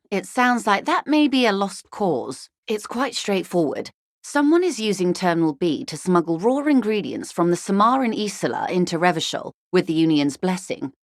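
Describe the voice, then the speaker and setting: A woman playing a composed, tactful corporate negotiator in a video game, speaking in a formal, measured and diplomatic way, firm but courteous. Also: fairly low pitch, no room sound, clean studio sound. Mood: frank, measured. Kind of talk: video game character dialogue.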